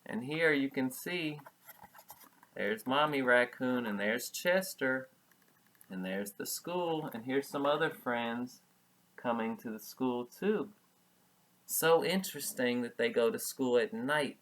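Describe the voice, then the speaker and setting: A man reads a picture book to preschoolers at a slow pace. He speaks as a teacher, stopping to explain in simple words and repeating the important ones. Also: mid-range voice, animated, fairly bright tone, low-quality recording. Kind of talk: read-aloud of a picture book with explanations for young children.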